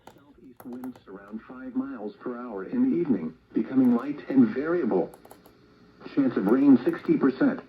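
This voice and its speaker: A man's voice: mid-range voice, sing-song, normal speed, creaky. A synthetic-sounding man reading a scripted weather forecast, heard through a radio speaker. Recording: dull radio broadcast played through speaker, faint noise.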